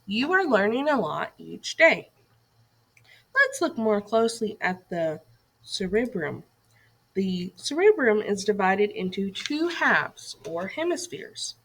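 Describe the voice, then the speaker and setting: A woman's voice: on the high side, very animated, a little slow, a bit bright. A woman reading a scripted educational lesson aloud to children as a teacher, putting questions and directions to young listeners.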